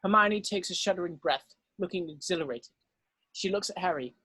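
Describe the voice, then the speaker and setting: A woman's voice: on the low side, a little fast, bright, forceful tone, breathy edge, no room sound, low-quality recording. A woman narrating stage directions from a script.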